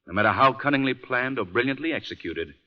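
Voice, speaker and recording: An actor playing an assured, urbane gentleman sleuth in a polished 1940s radio drama style. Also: mid-range voice, lively intonation, fast talker, natural voice. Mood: grave, explanatory. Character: suave, assured gentleman amateur sleuth, adult man. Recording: muffled vintage 1940s radio recording.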